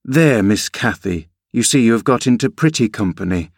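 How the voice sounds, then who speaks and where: A man's voice: mid-range voice, animated, moderate pace, husky, no room sound, clean studio sound. Man voicing a prim, sensible nursemaid. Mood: prim, admonishing. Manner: hushed, gently admonishing. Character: sensible nursemaid woman, soothing yet prim.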